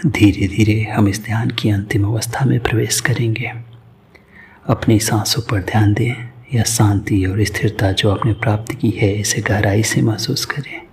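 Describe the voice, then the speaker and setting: A man narrating a guided meditation in a calm, soothing, unhurried way, with soft breathy edges to his voice. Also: fairly low pitch.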